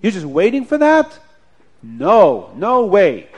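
A man voicing a learned, respected rabbi who insists loudly and rallies everyone around him. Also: sits high, wildly swooping pitch, slow, husky, voice pushed up, exaggerated voice. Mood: indignant. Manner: insistent, rallying the crowd.

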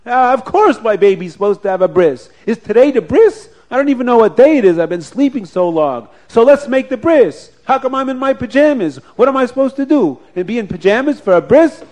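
A bewildered father who has just woken from his sickbed, firing off eager questions in a high, loud voice, as a storyteller puts him on. His words tumble out one after another as he tries to get up and dress. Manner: rapid-fire excited questions. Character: bewildered sick father suddenly waking, eager.

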